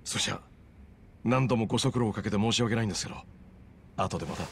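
Laid-back streetwise man, lowish voice. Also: quick pace, vocal fry, a little hiss or hum. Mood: polite. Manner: easygoing, courteous, understated. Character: laid-back, polite middle-aged former moneylender, streetwise. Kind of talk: Japanese video game voice acting.

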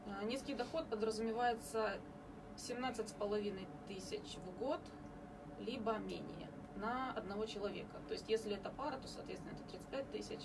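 Ukrainian woman explaining slowly, distant mic. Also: mid-range voice. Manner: measured explaining with many hesitation fillers. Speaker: home care agency representative. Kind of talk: radio studio interview. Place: small radio studio. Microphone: studio mic on boom arm, captured by far camera. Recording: distant camera audio with background noise.

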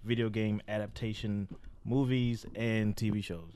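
A man's voice: lowish voice, little pitch movement, a little slow, a little mellow, slight background noise. A man chatting casually as a podcast panelist, often protesting defensively, on a close microphone. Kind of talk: casual discussion banter. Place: small room around a table, indoors.

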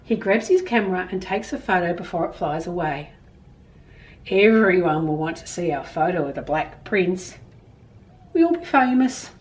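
Lively Australian woman reading to children. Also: lowish voice, normal speed, distant mic. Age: middle-aged. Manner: expressive, emphatic storytelling for young listeners. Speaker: storytime presenter. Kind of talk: picture-book reading and explaining to children. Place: small indoor room at home with bookshelves.

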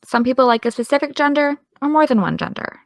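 A woman's voice: sits high, animated, quick pace, gravelly, no room sound. A young actress playing an exasperated, defensive young woman with dry comebacks in an audio drama. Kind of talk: audio drama dialogue of a video call.

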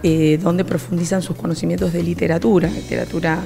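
A middle-aged woman with an Argentine Spanish accent explains a language program, steady and measured, often pausing on "eh". Her voice sits low with a gravelly edge. She speaks into a handheld mic in a classroom. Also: soft background music. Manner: measured, with frequent "eh" fillers. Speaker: program coordinator. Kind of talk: explanatory interview. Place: classroom with students behind. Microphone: handheld reporter mic.

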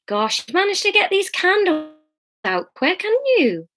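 A woman's voice: extremely high pitch, very animated, normal speed, fairly bright tone, voice pushed up, exaggerated voice. A woman voicing a tiny, chatty old British lady, a genteel and fussy neighbour in a storybook reading. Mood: chirpy, inquisitive.

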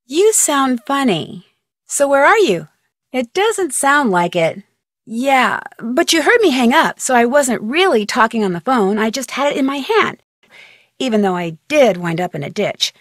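A friendly, inquisitive young American woman detective in an adventure game. Her voice sits a little high and is recorded dry, with no room around it. She delivers scripted dialogue in an earnest, conversational way with clear diction. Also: sing-song, normal speed, no echo. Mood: chatty. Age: young adult. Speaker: player character of a detective game.

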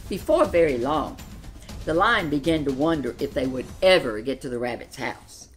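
Older woman reading a story, lively intonation. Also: low-pitched, moderate pace, soft background music. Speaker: storyteller reading a children's picture book. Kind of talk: expressive read-aloud storytelling. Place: furnished living room, seated in an armchair.

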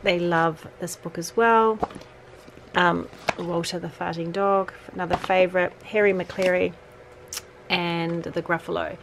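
A woman's voice: fairly low pitch, creaky, background noise. A woman in her forties chatting as she sorts a bedroom, talking to her sons between remarks, with lots of fillers. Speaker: vlogger, mother. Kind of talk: casual decluttering narration. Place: bedroom, indoors.

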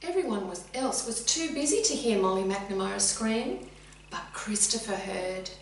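A middle-aged woman reading a children's story expressively and deliberately, addressing young listeners directly. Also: medium pitch. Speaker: teacher reading a picture book. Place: indoors, sitting room with bookcase. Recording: very echoey room, distant mic, faint hum.

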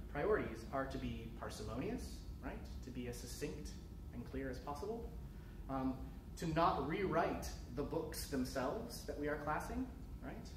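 A man giving a keynote academic lecture from a podium, measured and thoughtful, with frequent "um" fillers. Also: medium pitch. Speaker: keynote speaker. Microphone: podium mic heard from a distance. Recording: echoey lecture hall, distant and noisy.

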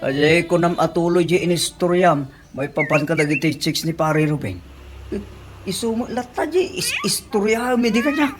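A performer playing a gossip-loving, defensive husband in an Ilocano radio comedy drama, animated and exclamatory, with an Ilocano accent. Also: mid-range voice, moderate pace, natural voice. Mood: chatty.

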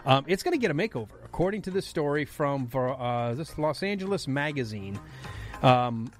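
A man's voice: medium pitch, animated, normal speed, faint music behind. A man in his fifties guest hosting a radio talk show, joking and reading out entertainment news. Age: 50s. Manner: jokey, reads news items aloud.

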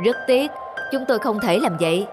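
Polite older cartoon shopkeeper over music. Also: male voice, very high-pitched, expressive, moderate pace. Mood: apologetic, practical. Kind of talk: cartoon drama dubbing.

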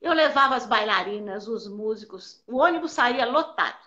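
An older Brazilian woman, a dance teacher, telling stories of her career in an animated way and repeating words for emphasis. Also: on the high side, normal speed, highs cut off. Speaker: belly dance teacher, interviewee. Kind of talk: casual interview answers.

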